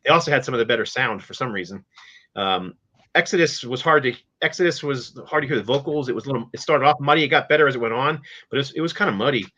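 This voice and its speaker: A middle-aged man with a medium-pitched, bright and forceful voice hosts a casual music chat. He sounds matter-of-fact and opinionated. The recording is slightly dull. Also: lively intonation, fast talker. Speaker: podcast host.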